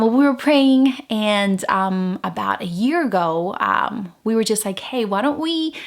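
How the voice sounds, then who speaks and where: A woman's voice: medium pitch, creaky, a bit bright. Chatty woman from Venezuela vlogging. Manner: chatty, many fillers and restarts. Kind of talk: casual chat to camera.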